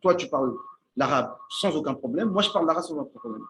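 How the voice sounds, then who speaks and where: A man teaches informally while seated at a shared meal, his voice sitting a touch higher than most men's. He thinks aloud, stumbles and restarts words, and keeps checking that his listeners follow him. A faint hiss runs underneath. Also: expressive, quick pace, a bit bright, rough recording. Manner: checks in with listeners, asks "d'accord?". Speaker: Islamic teacher giving a talk on Arabic. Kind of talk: informal spoken religious lesson. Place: indoors at a shared meal with friends.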